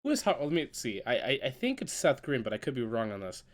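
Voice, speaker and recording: A man's voice: mid-range voice, huge pitch swings, quick pace. Congested man hosting a podcast. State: congested, stuffy nose. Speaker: podcast host. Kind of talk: casual chat.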